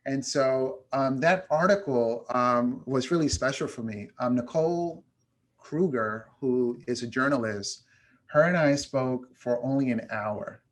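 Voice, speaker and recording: Reflective man, pausing and thoughtful. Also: mid-range voice, poor audio. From New York. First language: Spanish. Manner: reflective, many "um" pauses. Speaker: guest, education consultant. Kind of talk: casual interview talk.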